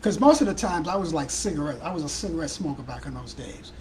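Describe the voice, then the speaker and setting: A man from Brooklyn with a Brooklyn accent hosting a live talk show, chatting casually in a hoarse, worn-out voice. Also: on the high side, lively intonation, fast, darkish tone, a little hiss or hum. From Brooklyn, New York. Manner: rambling, chatty, addresses viewers and callers by name.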